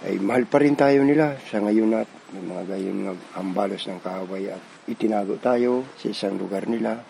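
A man's voice: on the low side, normal speed, gravelly, mellow, a little hiss or hum, poor audio. A man giving a spoken testimonial as a guerrilla fighter.

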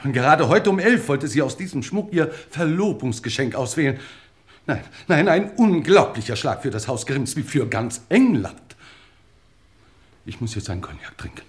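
Pompous, aghast English lord, male actor, vintage radio drama, theatrical delivery with very lively intonation. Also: medium pitch, moderate pace, close to an everyday voice. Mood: indignant, lamenting. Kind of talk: 1950s German radio play acting.

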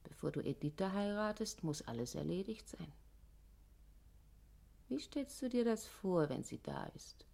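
Slow, husky, insistent controlling woman. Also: medium pitch, big pitch swings, full character voice. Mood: insistent, coaxing. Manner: hushed, coaxing, commanding. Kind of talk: German radio play acting.

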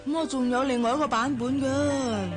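An actress playing a gossipy, animated young office worker in a Hong Kong Cantonese radio drama. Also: female voice, sits high, moderate pace, music in the background, lightly acted. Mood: coy gossiping. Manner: animated, teasing, coy.

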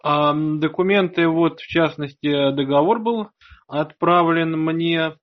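A man's voice: on the high side, unhurried. A man speaking politely and hesitantly in a phone conversation with an official. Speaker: prospective student, applicant. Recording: dull lo-fi phone call.

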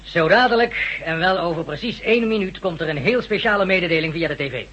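An actor in a radio drama playing an upbeat male camp chief who makes announcements briskly and heartily. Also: high-pitched, lo-fi sound, a crowd in the background, natural voice. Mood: excited, confiding.

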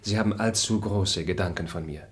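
An actor plays a high-minded male traveller in a radio play, with a low voice. His speech is formal and lofty, always moralizing. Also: brisk, close to an everyday voice. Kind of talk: radio drama acting.

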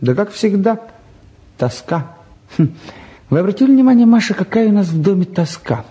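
A restless teenage lad in a radio play, with a fairly high, mellow voice. He grumbles in slang, sardonic and flippant about everything around him. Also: very animated, moderate pace. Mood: bored, grumbling. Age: young adult. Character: bored, restless, sardonic eighteen-year-old younger son. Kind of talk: naturalistic stage and radio drama acting.

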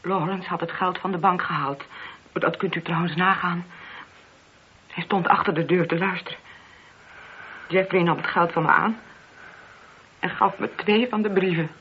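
An actress playing an anguished married woman in a radio play, speaking in a husky, halting, confessional way. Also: fairly low pitch, fairly even intonation, a little hiss or hum, natural voice. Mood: subdued, ashamed. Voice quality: very husky, breathy. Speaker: voice actor. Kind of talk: Dutch radio play acting. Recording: dull, muffled old radio recording.

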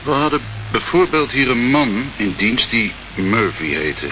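An actor playing a suave, menacing crime boss in a radio play, speaking with polite veiled threats. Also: male voice, mid-range voice, sing-song, a little slow. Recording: muffled, noisy old radio recording.

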